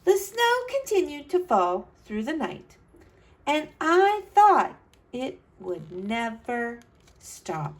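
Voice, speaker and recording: A woman's voice: high-pitched, huge pitch swings, slow pace, heavily acted. A woman reading a storybook as an earnest, adventurous young boy who tells his own tale dramatically. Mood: wonder, effort.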